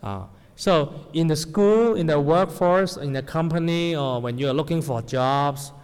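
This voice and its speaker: A man's voice: a little high, measured pace, darkish tone, clear, resonant tone. Male preacher in a hall. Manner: non-native English with grammar slips, asks the audience "right?". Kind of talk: sermon to a congregation. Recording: long hall reverb.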